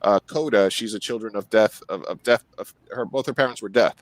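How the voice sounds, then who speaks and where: A man's voice: fairly low pitch, brisk, no echo. Man hosting a casual chat, dry close sound. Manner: rambling, many restarts and "like". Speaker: podcast host.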